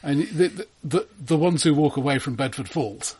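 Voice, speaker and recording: Husky-voiced man debating films. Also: on the high side, expressive, moderate pace, a little mellow. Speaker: podcast co-host discussing films. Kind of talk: casual film discussion.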